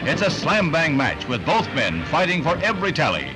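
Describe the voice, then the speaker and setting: An American man reading scripted newsreel narration in a brisk, punchy, dramatic 1960s announcer style. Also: on the high side, wildly swooping pitch, rough recording. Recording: vintage newsreel soundtrack, loud music underneath. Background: loud music bed.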